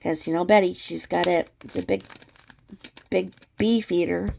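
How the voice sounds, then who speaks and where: A woman's voice: on the low side, measured pace, gravelly, darkish tone, slight background noise. A woman giving unscripted, scornful and emphatic opinion commentary as the host of her own channel.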